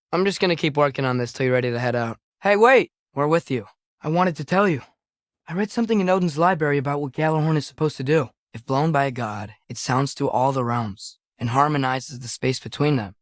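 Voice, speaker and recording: A man's voice: a little high, no room sound, clean studio sound, lightly acted. A young man voicing an earnest, curious teenage boy in a video game, speaking naturally and conversationally. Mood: apologetic, subdued, then eager, explaining.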